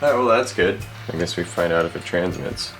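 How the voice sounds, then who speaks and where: A man's voice: sits low, a little fast, over music. A man hosting a repair video in casual chat, wry, joking and rambling, with some swearing.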